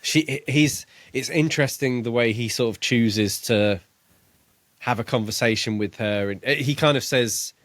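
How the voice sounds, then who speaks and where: A man's voice: medium pitch, normal speed, fairly bright tone, no room sound. A man co-hosting a podcast, chatting casually about a book and thinking aloud with some hesitation. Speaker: podcast co-host. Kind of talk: casual book discussion chat.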